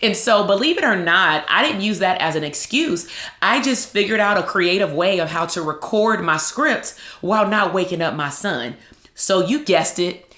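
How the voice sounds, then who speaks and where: A woman in her thirties with a loud voice, hosting a casual motivational talk as a psychologist and branding coach, lively and conversational with quick asides. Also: fairly low pitch, roomy sound.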